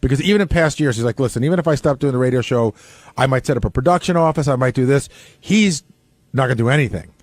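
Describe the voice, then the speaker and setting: A man's voice: medium pitch, quick pace, no echo. A man who works as a radio producer, chatting off the cuff and often rephrasing himself mid-sentence. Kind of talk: casual unscripted discussion. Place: radio studio. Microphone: close studio microphone.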